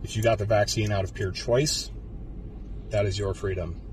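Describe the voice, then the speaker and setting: An American man in his thirties, a police officer, giving a solemn, deliberate prepared speech to camera in a car. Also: lowish voice, fairly even intonation, a little mellow. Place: inside a car. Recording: slightly distant mic, faint car noise.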